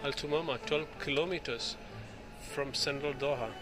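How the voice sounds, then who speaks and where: A man filming in an indoor exhibition hall, reading the display panels aloud slowly, pausing between phrases and restarting when he slips. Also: on the high side, fairly even intonation. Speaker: video host touring an exhibition. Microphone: handheld phone camera. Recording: loud music in the hall. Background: music playing.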